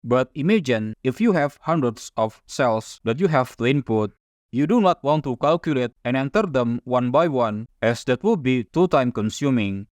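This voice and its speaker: A man whose first language is Indonesian narrates a spreadsheet tutorial in a calm, methodical voice. His pitch sits in a typical middle range for a man. The recording is close and dry, with no room sound. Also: moderate pace, no room sound. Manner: calm, methodical step-by-step explaining. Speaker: software tutorial instructor.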